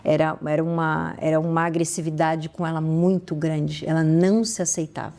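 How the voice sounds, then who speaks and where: A woman's voice: low voice, little pitch movement, a little fast, vocal fry, dark tone. A woman with a Brazilian Portuguese accent, a podcast guest telling stories earnestly, with frequent restarts and sudden rises in emphasis. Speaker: guest, founder of a social fashion project. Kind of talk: conversational podcast interview.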